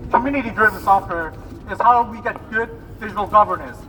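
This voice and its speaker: High, forceful man addressing a rally. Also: brisk, a little hiss or hum. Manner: emphatic, declamatory. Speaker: protest speaker. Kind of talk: slogan-like rally speech. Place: outdoors on a city street. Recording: echoey, distant outdoor recording.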